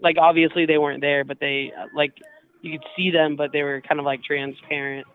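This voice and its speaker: Tinny phone audio, young man, fairly high voice, hesitant, casual hotel employee. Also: level tone, fairly quick, clear, resonant tone. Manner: hesitant, filler-heavy, informal. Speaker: hotel employee taking a call. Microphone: telephone. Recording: tinny, narrow phone line.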